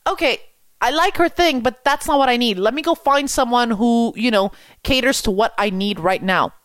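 A young woman from Quebec, a French speaker, coaching about business with energetic rhetorical questions. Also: a little high, normal speed, no room sound, studio quality. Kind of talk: coaching talk to camera.

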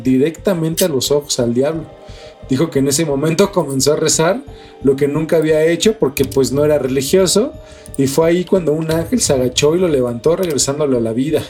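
A man's voice: on the high side, darkish tone, breathy edge. A man with a Mexican accent co-hosting a podcast about strange news, talking casually with slangy, profane language. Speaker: podcast co-host. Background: music.

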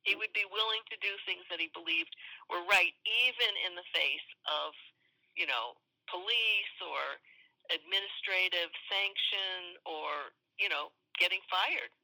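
Older female interviewee, conversational, piercingly bright tone, phone-like sound. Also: lowish voice, measured pace. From suburb of Boston, USA. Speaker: interviewee recalling student activism.

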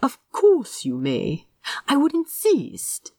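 Lady doing a polite angel man in an audio story, gentle but stubborn, soft careful words, lilting pitch, dry sound. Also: wildly swooping pitch, takes it slowly, no echo, exaggerated voice. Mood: pleased, polite. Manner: polite, precise, soft-spoken. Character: gentle, prim, stubborn, teasing male angel.

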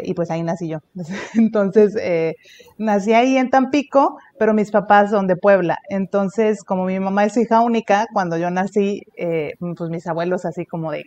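A woman's voice: mid-range voice, darkish tone, lo-fi sound. A woman religious sister with a Mexican accent, chatting casually as an interview guest, with lots of fillers and easy laughter. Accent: Mexican Spanish.

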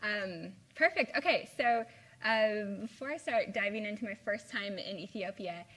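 A woman's voice: medium pitch, moderate pace, fairly bright tone, husky. Chatty young woman from the Midwest. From Midwest US (Indiana). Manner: chatty, lots of "like" and "um". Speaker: guest speaker. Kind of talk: informal live talk with slides. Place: university lecture room.

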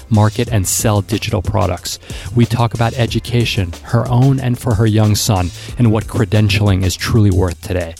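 A man hosting a podcast, reading a scripted intro and then interviewing a guest, with a measured manner and some hesitant restarts. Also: on the low side, gravelly, a little mellow. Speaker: podcast host.

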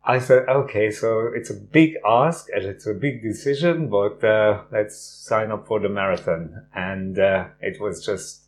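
A man's voice: fairly low pitch, measured pace, hardly any pauses, a little hiss or hum. A middle-aged man who grew up in Hungary, telling his story as a guest in a casual interview, with a lot of "uh" fillers. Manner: warm storytelling with frequent "uh" fillers. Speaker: guest, runner and teacher.

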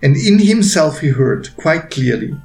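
A man reading his own story aloud as a narrator, with gentle, deliberate phrasing and pauses. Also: on the high side, very animated, vocal fry. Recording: roomy echo with faint music behind.

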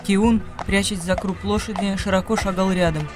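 High-voiced male narrator over music. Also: steady tone, moderate pace. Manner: literary, storytelling delivery. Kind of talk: dramatic scripted narration for radio play. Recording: studio radio play over music.

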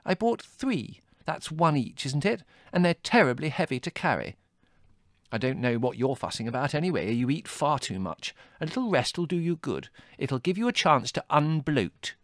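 Man putting on a high, bossy young woman. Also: animated, dry recording, studio quality, lightly acted. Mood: irritable. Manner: brisk, scolding, dismissive. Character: bossy, irritable young woman, breezily scornful.

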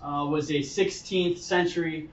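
A high-pitched male pastor delivers an earnest, explanatory sermon in a conversational way. He restates key phrases to drive them home. The sound is slightly dull and reverberant, picked up in a roomy space. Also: steady tone, measured pace, recorded from a distance. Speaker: pastor preaching.

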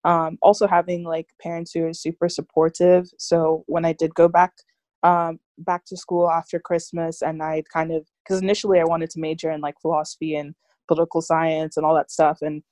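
A young woman from Nigeria speaks in a low, flat voice, thoughtful and loose, with a steady run of "like" and "you know." The sound is dry and close, with no room around it. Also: brisk, no echo. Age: young adult. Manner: reflective, frequent fillers like "like" and "you know". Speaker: interview guest, student activist. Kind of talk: casual interview conversation.

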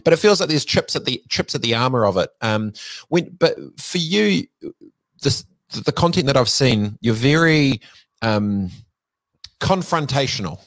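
A man's voice: medium pitch, animated, a little slow, fairly bright tone, no echo. A man interviewing a guest, thinking aloud with many fillers before asking probing questions. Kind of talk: interview conversation.

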